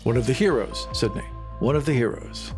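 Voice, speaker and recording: A man's voice: medium pitch, fairly quick, music in the background, close to an everyday voice. A man improvising as an evasive colony leader in a tabletop game, putting a reassuring, polished spin on bad news. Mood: earnest, rallying.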